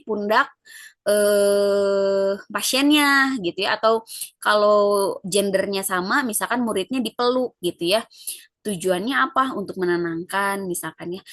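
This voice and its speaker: A woman lecturer, explaining an assignment in a relaxed, conversational way with frequent fillers. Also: mid-range voice, no room sound, clean studio sound.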